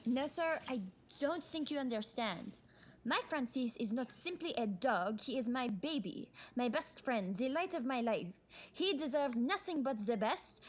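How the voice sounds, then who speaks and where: A woman's voice: fairly high pitch, expressive, normal speed, close to an everyday voice. A woman playing an entitled, doting dog owner and demanding customer, haughty and melodramatic, with faint noise behind her. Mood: indignant.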